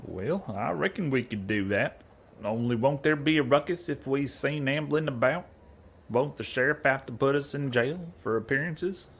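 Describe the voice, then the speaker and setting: An actor playing a naive, earnest young cowboy outlaw weighed down by guilt, with a folksy rural American Western drawl, in a comedy western audio drama. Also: male voice, mid-range voice, moderate pace, breathy edge. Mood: earnest, uneasy. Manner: folksy, ungrammatical bumpkin talk.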